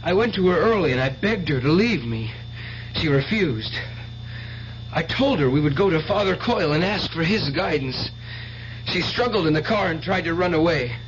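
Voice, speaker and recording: A man acting a self-righteous stage mentalist in an old radio drama. His voice is high and declamatory, full of fanatical piety. The recording is dull and very noisy, with hum. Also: lively intonation, moderate pace, close to an everyday voice. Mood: anguished confession. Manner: theatrical, declamatory showman. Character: fanatical stage mind reader, tormented, pious, self-righteous killer.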